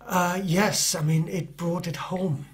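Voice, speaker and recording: A man's voice: high voice, fairly even intonation, takes it slowly. A middle-aged man who is not a native English speaker, an author answering interview questions in a hesitant way, with many fillers and restarts.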